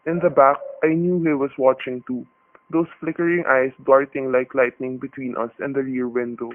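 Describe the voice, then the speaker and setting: Actor as a tense husband narrating, tinny radio. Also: male voice, medium pitch, steady tone, moderate pace, lightly acted. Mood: tense, brooding. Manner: colloquial, vivid storytelling. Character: tense, folksy husband narrating his own hostage ordeal. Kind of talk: first-person radio thriller narration. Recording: tinny, noisy narrow-band radio recording.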